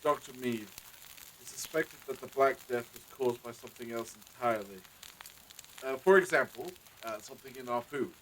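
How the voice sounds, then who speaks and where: A man's voice: mid-range voice, normal speed, a bit bright, slight background noise, close to an everyday voice, low-quality recording. An actor playing an anxious, courteous village husband and father in a period audio drama, with hesitant, formal old-fashioned phrasing. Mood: uneasy, polite.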